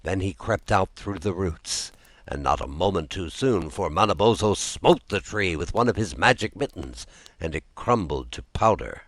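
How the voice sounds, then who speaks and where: Male storyteller for scripted folk tale narration, expressive, wide-ranging intonation, dry sound. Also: sits low, normal speed, no room sound.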